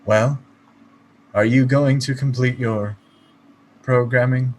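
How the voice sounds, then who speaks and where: A man playing a melancholy, polite android poet in a radio play, speaking in a stilted, formal, halting way. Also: mid-range voice, steady tone, slight background noise, lightly acted. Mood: wistful. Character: melancholy, polite male android poet, fast-food worker.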